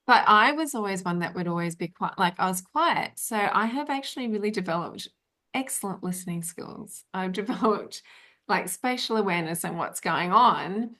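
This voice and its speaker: A woman's voice: mid-range voice, animated, moderate pace, bright, forceful tone. A middle-aged woman hosting an interview, talking in a chatty, rambling way with many fillers. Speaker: podcast host. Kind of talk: casual interview chat.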